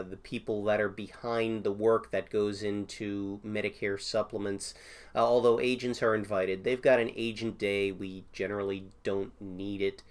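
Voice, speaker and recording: A man's voice: medium pitch, clear, resonant tone. A man getting over a cold, now and then coughing, hosting a casual question-and-answer video about insurance as an agent, thinking aloud with many fillers. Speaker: Medicare insurance agent host.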